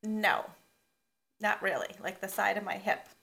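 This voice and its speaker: A young woman co-hosting a podcast, chatting casually with a relaxed, rambling way of telling stories. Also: mid-range voice, a little slow, vocal fry, husky. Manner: relaxed, filler-heavy storytelling. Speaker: podcast co-host. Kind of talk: casual chat.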